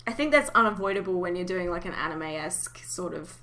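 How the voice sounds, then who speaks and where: A woman's voice: lowish voice, fast, a bit bright, a little hiss or hum. A woman hosting a casual fan podcast, chatting in an informal, giggly way. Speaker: podcast host.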